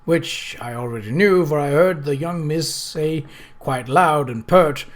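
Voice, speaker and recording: A man's voice: on the high side, unhurried, close to an everyday voice. A man voicing a boastful male servant who tells his adventure with relish and patience in a storybook. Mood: patient.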